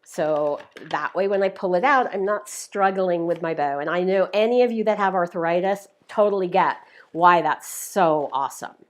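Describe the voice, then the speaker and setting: A woman's voice: lowish voice, moderate pace. A woman hosting a live craft tutorial, explaining each step in a chatty, upbeat way, her voice a little worn. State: slightly losing her voice. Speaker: craft demonstrator, show host.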